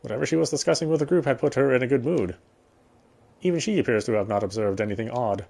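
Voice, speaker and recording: A man reading scripted first-person narration from a horror visual novel in a measured, literary manner. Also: medium pitch, lively intonation, vocal fry, darkish tone, breathy edge. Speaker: player reading the narration aloud.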